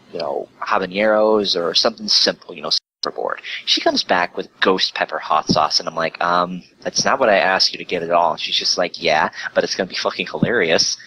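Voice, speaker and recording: A man with a very low, bright and forceful voice tells casual stories as a guest, swearing freely and cutting in with exasperated asides. It comes over a voice call with some noise in the background. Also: poor audio. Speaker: guest, online video creator. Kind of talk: casual profane storytelling chat.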